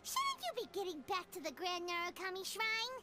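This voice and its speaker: An actress playing a tiny, loud and bossy female fairy companion with a shrill childlike voice, scolding and indignant. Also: wildly swooping pitch, moderate pace, heavy background noise, heavily acted. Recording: game audio with music behind. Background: music.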